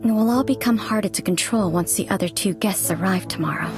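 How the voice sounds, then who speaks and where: A female actor plays a reserved young woman on a secret investigation in a game. She speaks deliberately and guardedly at an ordinary female pitch, with music clearly playing behind her. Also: lightly acted. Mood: pensive, resolute. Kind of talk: scripted video game dialogue and monologue.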